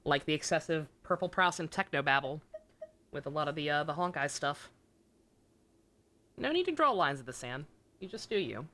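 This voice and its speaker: A woman's voice: low voice, lively intonation, normal speed, long pauses, sharp tone. A woman chatting casually on a game livestream, rambling and blunt, with some swearing.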